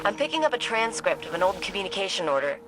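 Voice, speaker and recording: Video game investigator, played by a woman: thoughtful, measured, piecing together old war records, clear music underneath. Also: mid-range voice, sing-song, natural voice, low-quality recording. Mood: puzzled, intrigued. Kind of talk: video game voice acting, dramatic dialogue. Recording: music behind the voice.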